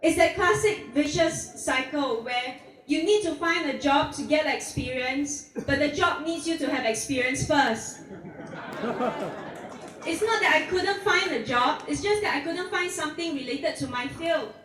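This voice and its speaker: A woman in her thirties from Singapore giving a speech at an outdoor protest rally, earnest and measured, asking rhetorical questions. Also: high voice, animated, a bit bright, a faint crowd. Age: 30s. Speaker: protest speaker. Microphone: PA microphone recorded from the audience. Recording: distant echoey outdoor PA recording.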